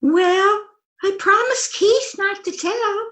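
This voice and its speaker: A woman's voice: very high voice, slow, a bit bright, exaggerated voice. A woman playing a sweetly naive, scatterbrained wife in a 1940s-style radio comedy, with a girlish voice. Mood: coy, innocent. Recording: roomy, echoing recording.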